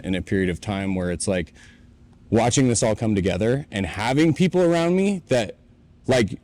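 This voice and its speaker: Earnest man in his late twenties, low voice. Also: darkish tone. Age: 20s. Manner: earnest, reflective storytelling. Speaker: podcast co-host. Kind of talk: casual chat. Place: warehouse.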